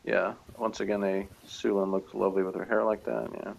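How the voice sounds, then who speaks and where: A man with a gravelly, fairly low voice talks off the cuff as a co-reviewer. He rambles and hesitates, with a mildly sardonic edge. There is slight noise in the recording. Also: level tone, a little fast, poor audio. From outside Britain. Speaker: co-reviewer of a TV series. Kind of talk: casual unscripted review chat.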